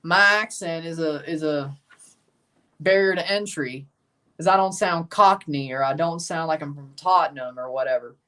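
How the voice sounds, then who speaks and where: A man's voice: fairly high pitch, normal speed, pushed and bright. Southern American male streamer, loose and chatty, mild cursing, a bit distant from the mic. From United States. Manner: rambling, casual, mild swearing. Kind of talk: live match watchalong chat.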